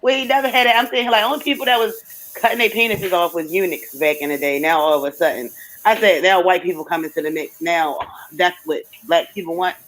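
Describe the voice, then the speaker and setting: A man's voice: sits high, steady tone, brisk, fairly bright tone, poor audio. A man chatting casually on a panel in a laid-back way, often laughing as he talks. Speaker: podcast panelist. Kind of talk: casual chat.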